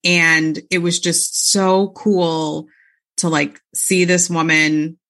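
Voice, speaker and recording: A woman's voice: deep voice, steady tone, takes it slowly, a bit bright. A woman author and bookseller chatting as an interview guest, enthusiastic and full of fillers. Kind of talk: casual interview chat.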